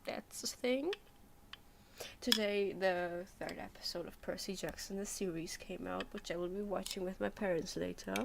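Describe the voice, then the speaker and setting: A woman's voice: medium pitch, steady tone, moderate pace, creaky, background noise. A young adult woman chatting casually on a game livestream, hesitant and rambling as she thinks aloud. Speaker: game streamer.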